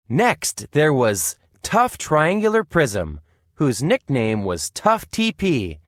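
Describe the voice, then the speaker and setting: A man narrates a children's storybook with dramatic flair. His fairly high voice swings very widely in pitch, expressive throughout, in a very dry recording. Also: no room sound. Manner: animated, expressive storyteller delivery.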